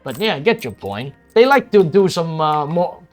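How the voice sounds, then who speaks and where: Rambling, swearing man with lively pitch. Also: faint music behind. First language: Cantonese. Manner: rambling, halting, many restarts and swearing. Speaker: streamer. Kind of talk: casual chat while streaming a strategy game.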